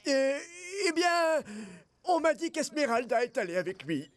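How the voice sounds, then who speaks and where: Woman as a high-pitched, nervous cartoon rabbit. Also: big pitch swings, unhurried, loud music behind the voice. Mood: nervous, apologetic. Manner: hesitant, stammering, apologetic. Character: nervous, timid rabbit underling. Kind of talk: French cartoon character acting.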